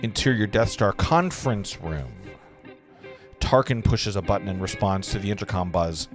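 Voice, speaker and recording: A man's voice: fairly low pitch, lively intonation, moderate pace, faint music behind. A man narrating screenplay stage directions at a live table read, with casual asides.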